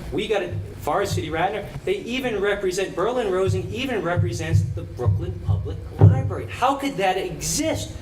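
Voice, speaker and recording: A man's voice: high voice, lively intonation, moderate pace, few pauses. A man who is a political candidate giving an impassioned, indignant debate speech full of rhetorical questions. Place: college auditorium public debate. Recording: echoey hall, background noise.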